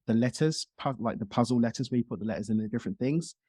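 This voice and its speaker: A man's voice: medium pitch, quick pace, dark tone, slightly rough, no echo, studio quality. A man talking casually as a guest in a podcast interview, explaining things in talkative detail. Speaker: podcast guest, speaker and coach.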